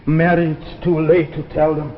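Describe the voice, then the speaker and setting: An actor playing a weary, solemn elderly scientist of a doomed future in a 1950s science fiction drama, speaking with theatrical deliberation. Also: male voice, on the high side, little pitch movement, normal speed, lightly acted. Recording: muffled vintage 1950s broadcast, reverberant, music behind.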